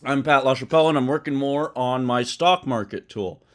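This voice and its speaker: A man hosting a live coding stream, talking loosely with many ums and uhs and correcting himself as he goes, his voice a bit hoarse. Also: medium pitch, moderate pace, a bit bright. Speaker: livestream host coding a trading tool. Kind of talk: casual live-stream explanation.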